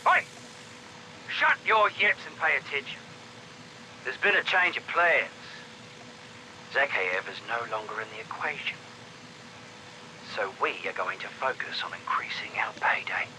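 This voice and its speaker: A man's voice: mid-range voice, animated, measured pace, long pauses, pushed and bright, poor audio. An actor playing a hard, greedy mercenary leader in a video game, curtly briefing his crew. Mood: commanding, greedy. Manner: curt, commanding. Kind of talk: game audio log, villain briefing. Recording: faint radio noise.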